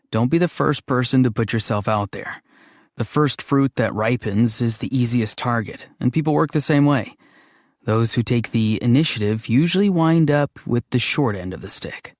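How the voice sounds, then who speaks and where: A gentle, dreamy young man from a fantasy game, performed by a male actor, speaks in a mid-pitched voice. He muses in a deadpan, slightly hesitant and awkward way. The sound is close and dry, with no room around it. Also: dry recording, natural voice. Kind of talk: scripted game character voice lines.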